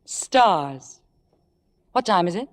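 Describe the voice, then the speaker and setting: An actress playing an ambitious, scheming young stage star in an old radio drama, speaking theatrically with a poised surface. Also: female voice, medium pitch, expressive, drawn-out words, in a large hall. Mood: poised, eager. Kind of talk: 1950s radio drama acting.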